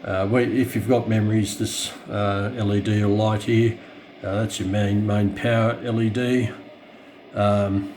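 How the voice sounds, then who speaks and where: An Australian man with a fairly low voice talks casually through a hands-on demonstration. He is hesitant, pausing often as he finds his words. There is some light background noise in the room. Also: darkish tone. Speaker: radio hobbyist presenter. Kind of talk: casual unscripted product walkthrough. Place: indoors.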